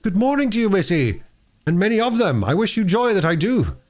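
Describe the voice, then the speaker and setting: A man's voice: high voice, very animated, brisk, darkish tone, pitched above the performer's own voice. A man voicing a cheerful, hearty village blacksmith in a storybook reading.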